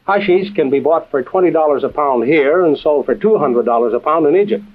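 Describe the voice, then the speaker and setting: Composed intelligence chief, tinny old radio. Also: male voice, on the high side, expressive. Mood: grave, explanatory. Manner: measured, businesslike, dry. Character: composed, authoritative intelligence chief and adventure storyteller. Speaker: host and narrator-character. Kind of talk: old-time radio adventure drama acting. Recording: tinny vintage radio recording.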